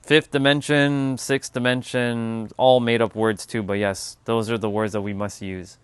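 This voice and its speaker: A man's voice: mid-range voice, flat delivery, measured pace, clean tone, no echo. A man hosting a casual livestream, musing aloud on spiritual ideas and answering viewers in chat, with loose, offhand swearing. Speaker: livestream host.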